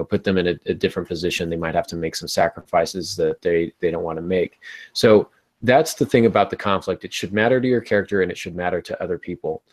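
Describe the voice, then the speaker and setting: Low-voiced man, thinking aloud. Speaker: podcast host and novelist. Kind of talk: casual writing-advice discussion. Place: small home room, on a video call.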